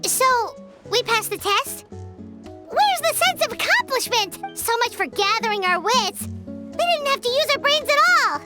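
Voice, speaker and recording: Game casting: tiny chatty fairy girl, squeaky and extremely high, bouncy swooping delivery, bright tone. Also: lively intonation, measured pace, loud music behind the voice. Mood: exasperated. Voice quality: squeaky, childlike. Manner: exclamatory, chatty, refers to herself by name. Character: small excitable childlike fairy companion girl. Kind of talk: video game voice acting.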